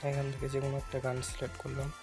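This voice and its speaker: A man narrates a casual phone tutorial in a flat, even voice over faint music. He instructs step by step, often repeating himself as he goes. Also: mid-range voice, very fast. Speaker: tutorial video host.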